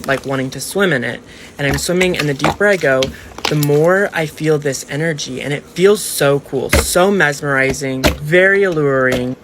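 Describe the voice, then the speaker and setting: A man's voice: on the high side, background noise. A man telling a personal story casually and emphatically, with conversational slang. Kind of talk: casual first-person storytelling for social video.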